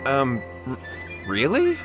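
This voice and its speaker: A man's voice: medium pitch, huge pitch swings, slow. A man playing an earnest, anxious young man in a video game, speaking politely and hesitantly with an imploring manner. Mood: deferential. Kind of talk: anime-style video game voice acting. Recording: background music behind the voice.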